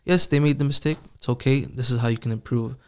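A man who recites the Quran, answering interview questions calmly and reflectively, sharing his own experiences. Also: medium pitch, fast talker. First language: not Arabic. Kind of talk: casual interview.

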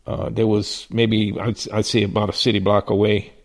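An older Cajun man with a gravelly, creaky voice. He recalls his life in a flat, even tone, rambling and restarting, with a folksy chuckle now and then. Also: on the low side, normal speed. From southern Louisiana. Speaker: interviewee. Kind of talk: personal storytelling.

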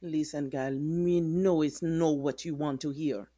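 An actress plays a protective, plain-spoken Jamaican neighbour in an audio drama. She has a lowish voice with caring directness, recorded close and dry. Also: steady tone, a little slow, dark tone, no room sound. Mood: concerned, soothing.

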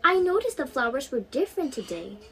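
A teenage young woman voicing a curious, excitable young schoolgirl in a storybook reading. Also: high-pitched, fairly quick, slight background noise, voice pushed up. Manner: bright-eyed, inquisitive, excitable.

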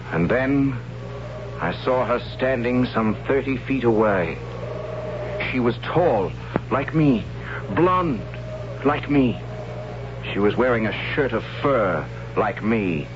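An actor narrating as a young warrior sent into a forbidden forest, earnest and hesitant, in an old radio drama. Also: male voice, mid-range voice, natural voice. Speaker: narrator and lead character. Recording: lo-fi old radio broadcast with music.

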